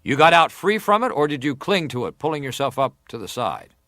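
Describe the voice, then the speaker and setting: A man's voice: a little high, big pitch swings, bright, forceful tone, no echo. Formal, probing male senator in dry sound. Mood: insistent, methodical. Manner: probing, deliberate, formal questioning. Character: male senator, persistent formal inquiry questioner. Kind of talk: dramatized reading of hearing testimony.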